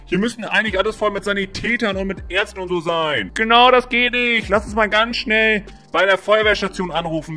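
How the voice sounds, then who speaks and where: A brash video game firefighter, put on by a man who talks bossily and emphatically. The voice is bright and high for a male, very animated, with music behind it. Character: sceptical, dismissive male firefighter, excitable and bossy.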